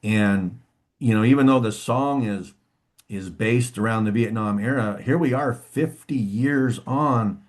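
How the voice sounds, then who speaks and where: A man who is a guest on a podcast interview, speaking in a halting, reflective way with frequent restarts and "you know". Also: mid-range voice. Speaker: musician guest. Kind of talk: interview conversation.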